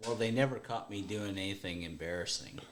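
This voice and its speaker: A man's voice: low voice, expressive, a little fast. A man in a casual podcast chat, telling stories and cracking dry jokes.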